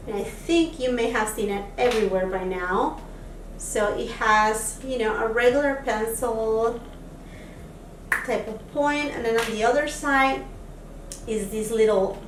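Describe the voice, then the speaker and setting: A woman's voice: on the high side, slight background noise. A woman from Peru, a beauty vlogger, chatting casually through a makeup try-on with lots of fillers, recorded on a camera mic at a distance in a home room. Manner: chatty, lots of fillers, enthusiastic about products. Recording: echoey room, voice a bit off-mic.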